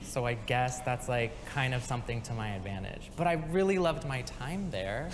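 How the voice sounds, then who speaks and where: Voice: mid-range voice, normal speed. A young adult speaking hesitantly and chattily on a discussion panel. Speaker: panel participant. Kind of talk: casual panel answer. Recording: reverberant room with faint hiss.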